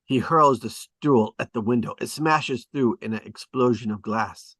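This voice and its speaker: A man's voice: mid-range voice, unhurried, a bit bright, breathy edge, no room sound. Dry, close-sounding man narrating a script. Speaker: stage directions narrator. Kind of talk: scripted dramatic narration at a table read.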